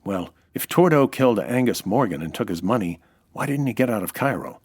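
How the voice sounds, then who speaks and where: A man's voice: fairly low pitch, expressive, fairly quick, darkish tone, husky. A man with an American accent playing a dry, wisecracking, hard-boiled nightclub owner in a 1940s-style radio detective drama. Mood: explaining. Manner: dry, wisecracking, world-weary.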